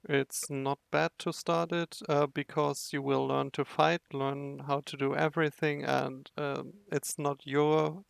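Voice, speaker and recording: A man's voice: a little high, little pitch movement, a little slow, vocal fry, hardly any pauses, smooth, no echo. A man giving casual playthrough commentary on a game, speaking in a hesitant way as he thinks aloud. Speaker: game video narrator and player.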